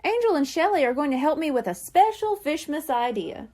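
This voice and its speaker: A woman's voice: high voice, very animated, brisk, a little mellow, voice pushed up. A woman reading as an eager little kitten girl. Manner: pleading, impatient.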